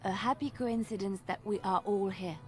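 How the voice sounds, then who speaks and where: An actress playing an earnest, devout young woman with a gentle, sincere way of speaking. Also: mid-range voice, steady tone, normal speed, soft background music, lightly acted.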